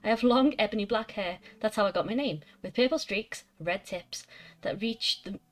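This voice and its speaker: A woman's voice: medium pitch, moderate pace, close to an everyday voice. A woman voicing a self-absorbed seventeen-year-old goth vampire girl, reading fan fiction aloud matter-of-factly, with little change of voice.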